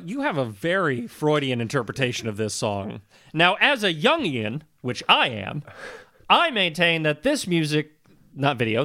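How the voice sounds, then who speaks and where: A man's voice: a little high, sharp tone. A man co-hosting a podcast, giving casual comedic commentary in a wordy, mock-formal way. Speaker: podcast co-host.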